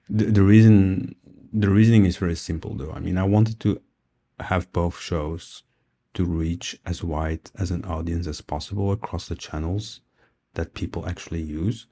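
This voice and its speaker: A man's voice: low voice, mellow. A man whose first language is Dutch, hosting his own audio journal and talking casually, thinking aloud as he goes. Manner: thinking aloud with fillers and restarts. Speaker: podcast host.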